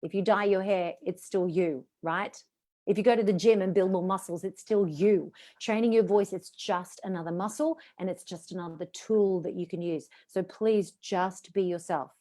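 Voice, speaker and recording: A woman's voice: lowish voice, moderate pace, mellow. Middle-aged woman, voice coach, encouraging, video call audio. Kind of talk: webinar teaching on video call.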